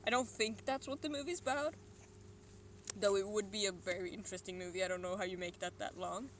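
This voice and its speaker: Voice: mid-range voice, fairly even intonation, fairly quick. Rambling Swedish-accented streamer, noisy ambience. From Sweden. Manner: rambling, meandering, with muttered and whispered asides. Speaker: livestreamer. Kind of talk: casual solo stream chat.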